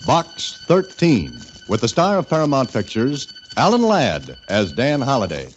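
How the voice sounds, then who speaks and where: A man's voice: medium pitch, huge pitch swings, measured pace. A man making formal radio announcements for a drama series. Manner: formal, declaiming. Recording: dull old radio recording over music.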